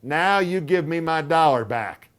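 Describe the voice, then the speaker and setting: A man's voice: a little high, moderate pace, pitched above the performer's own voice, natural voice. A man reading a story aloud, voicing a stubborn, irritable aunt in a storybook. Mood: tart. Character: fussy stubborn older aunt, tart and irritable.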